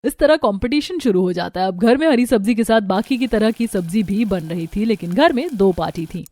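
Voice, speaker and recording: A woman's voice: medium pitch, animated, a little fast, mellow, no echo. A woman reading scripted narration for a family cartoon story.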